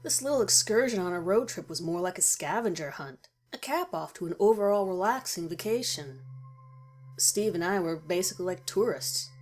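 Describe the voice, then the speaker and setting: A woman's voice: on the low side, animated, moderate pace. A woman reading a scripted horror story in the first person as its narrator. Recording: music behind the voice. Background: background music.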